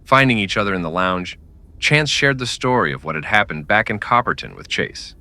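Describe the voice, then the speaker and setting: A man's voice: fairly low pitch, animated, fairly quick, sharp tone, dry recording. A man narrating a scripted western story in the style of an old-time radio drama.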